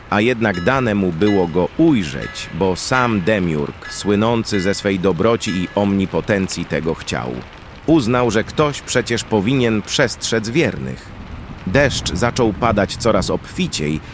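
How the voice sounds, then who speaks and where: A man narrating a scripted fantasy audio drama in a dramatic storytelling style, with outdoor ambience behind him. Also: on the low side. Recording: clear background ambience noise.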